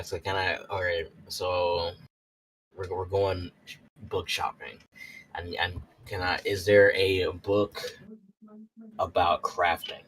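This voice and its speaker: Deep, slow, flat-voiced man. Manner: halting, repeats himself. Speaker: tabletop roleplay player. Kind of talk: casual game talk. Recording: distant mic with faint noise.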